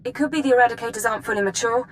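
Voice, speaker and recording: An actress playing a businesslike imperial intelligence handler in a video game, giving clipped, formal briefings. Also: female voice, a little high, very fast, a little hiss or hum, close to an everyday voice.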